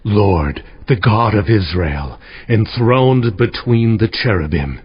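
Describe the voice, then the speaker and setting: A man's voice: on the low side, animated, slightly rough, heavily acted. An actor playing a pious king pleading solemnly in fervent prayer in a dramatized Bible audio production. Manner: slow, solemn, imploring. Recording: dull, band-limited audio.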